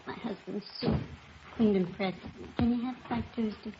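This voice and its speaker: A woman's voice: fairly high pitch, steady tone, normal speed, close to an everyday voice. A woman playing a polite, everyday married customer in a 1950s radio drama. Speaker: supporting actress. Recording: muffled old radio, loud noise.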